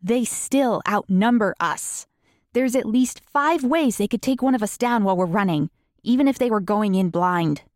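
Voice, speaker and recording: Audiobook teen tactician, read by a woman: female, mid-pitched, self-assured, knowing delivery, no room sound. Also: animated, normal speed, dry recording, clean studio sound, lightly acted. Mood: urgent analysis. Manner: matter-of-fact, knowing. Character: clever, self-assured teenage girl explaining tactics, unapologetic.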